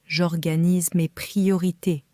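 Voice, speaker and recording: Slow calm woman reading for learners. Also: lowish voice, dry recording, clean studio sound. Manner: calm, careful, clearly spaced phrases. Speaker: French reader for learners. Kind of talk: slow scripted reading for beginners.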